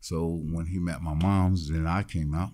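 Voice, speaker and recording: A man guesting on a studio podcast, telling his life story in an animated, punchy, streetwise way. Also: low voice, a little slow. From Midwestern United States. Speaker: guest musician and producer. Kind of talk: casual storytelling interview.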